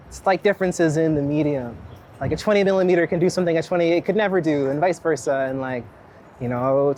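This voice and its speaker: A man's voice: sits high, darkish tone. A man talks casually as he walks through a city. He is reflective and rambling, often dropping a thought halfway to start another. Light urban noise and passing vehicles sit behind his voice. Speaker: street photographer being interviewed. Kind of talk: casual conversation while out walking. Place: outdoors on a busy city street. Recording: outdoor city street noise, traffic.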